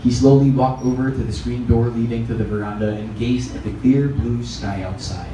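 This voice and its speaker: A man's voice: medium pitch, fairly even intonation, moderate pace. A man with a Filipino accent reads a story as a narrator at a live event. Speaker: reader at a literary event. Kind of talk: scripted fiction narration. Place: echoey room at a live event. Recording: echoey, distant mic, clearly noisy live event.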